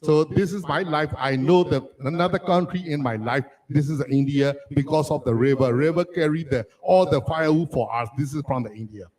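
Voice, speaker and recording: A man from Myanmar whose first language is Arakanese, telling a childhood story as a panelist in halting, restarting English. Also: on the high side, darkish tone, low-quality recording. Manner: halting, restarts sentences, non-native phrasing. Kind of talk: personal story at a panel discussion.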